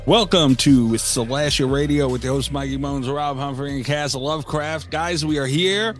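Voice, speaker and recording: A man's voice: medium pitch, normal speed, faint music behind. A man hosting a podcast in casual banter, joking with profane exasperation. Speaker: podcast host.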